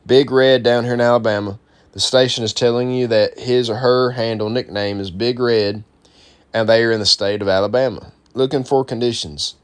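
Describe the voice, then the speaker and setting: A man's voice: mid-range voice, fairly even intonation, moderate pace, clear, resonant tone. A man presenting an instructional guide, reading a script aloud in an informal, explanatory way. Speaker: presenter of a CB radio how-to guide.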